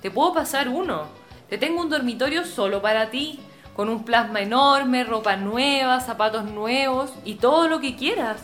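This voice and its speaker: A woman's voice: on the high side, moderate pace, fairly bright tone, faint music behind, lightly acted. A woman playing an earnest, insistent, status-conscious professional daughter with a Chilean accent, in a radio drama. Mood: pleading.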